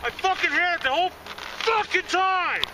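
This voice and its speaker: A man's voice: very high voice, expressive, moderate pace. A man on a ski slope outdoors, talking in casual banter and swearing constantly. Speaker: skier. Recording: loud wind noise outdoors.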